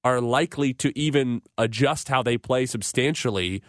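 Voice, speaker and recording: A man's voice: medium pitch, normal speed, no room sound. American guy hosting a sports radio show, kinda wordy and analytical, really dry close studio sound. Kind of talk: conversational sports talk.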